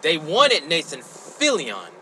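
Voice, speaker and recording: A man co-hosting a casual podcast, talking in a halting, exasperated, sweary way. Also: on the high side, wildly swooping pitch, pushed and bright, a little hiss or hum. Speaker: podcast host. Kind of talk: casual conversational podcast chat.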